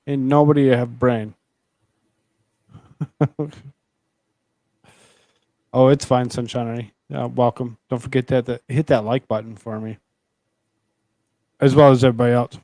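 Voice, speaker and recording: A man's voice: medium pitch, fairly even intonation, a little slow, pauses often, dark tone, no echo. A man hosting a show with casual banter in an informal, chummy way. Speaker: show host.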